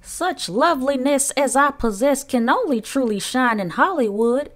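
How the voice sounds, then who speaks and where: A woman's voice: high voice, expressive, moderate pace, pitched above the performer's own voice. A woman voicing a vain, bossy aunt from a storybook in a pompous, theatrical manner. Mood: vain, gushing. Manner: theatrical, pompous, self-admiring. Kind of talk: storybook character voice.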